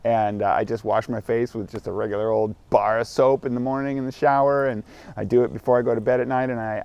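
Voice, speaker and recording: A man's voice: medium pitch, dark tone, dry recording, muffled recording. A man in his forties, vlogging outdoors in morning sunshine, talking candidly in a rambling, self-correcting way. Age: 40s. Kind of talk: casual self-recorded vlog.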